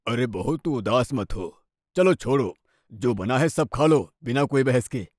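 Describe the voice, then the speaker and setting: A man acts out a kindly middle-aged father-in-law for a cartoon tale. His delivery is lively and soothing, with a dry sound. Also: mid-range voice, measured pace, no echo. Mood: soothing, indulgent. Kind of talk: animated moral story dialogue.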